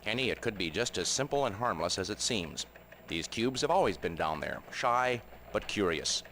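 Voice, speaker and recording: Condescending rooster expert in a game cutscene, lowish voice, very varied intonation, glib. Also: male voice, expressive, normal speed, a bit bright, a little hiss or hum. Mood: glib reassurance. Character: male rooster expert pundit, smug and dismissive. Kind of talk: parody TV expert interview in a video game.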